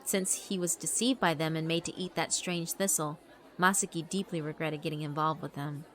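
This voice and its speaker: A woman narrating a horror visual novel in a measured, dramatic storytelling manner. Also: low-pitched, steady tone, a faint crowd.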